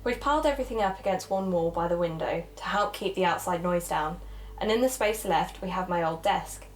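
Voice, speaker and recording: A woman's voice: on the low side, fairly quick, slight background noise, natural voice. A woman playing a keen young amateur podcaster in an audio drama, chatty and explanatory in manner. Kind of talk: naturalistic audio drama dialogue and narration.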